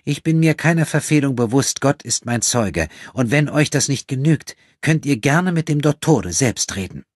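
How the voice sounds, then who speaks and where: A man voicing a prim, righteous nun in an audiobook, speaking in a sharp, defensive manner. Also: medium pitch, lively intonation, normal speed, dry recording, clean studio sound, pitched above the performer's own voice, close to an everyday voice. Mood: sharp, offended. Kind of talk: audiobook character acting.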